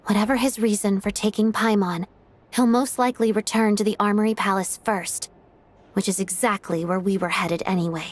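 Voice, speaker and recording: A woman's voice: mid-range voice, fairly even intonation, fairly quick, lightly acted. A woman voicing a resolute young female agent in a video game, composed and purposeful in her delivery. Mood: composed, serious. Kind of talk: video game cutscene acting.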